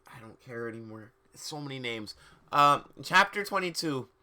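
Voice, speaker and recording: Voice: medium pitch, animated, moderate pace, bright, forceful tone. A livestream host giving a comic dramatic reading of fan fiction, with mocking, casual asides and a theatrical manner.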